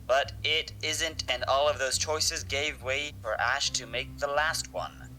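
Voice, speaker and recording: A man's voice: mid-range voice, fairly even intonation, a little slow, bright, forceful tone, poor audio. A man playing a weary but resolute young friend in an audio drama, earnest and wry. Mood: weary resolve.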